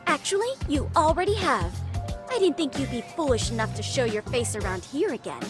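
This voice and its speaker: A woman's voice: sits high, animated, a little fast, music in the background. A woman voicing a haughty, dramatic teenage schoolgirl detective in a video game, accusatory and wordy. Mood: smugly accusing. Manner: theatrical, accusatory, formal wordy phrasing.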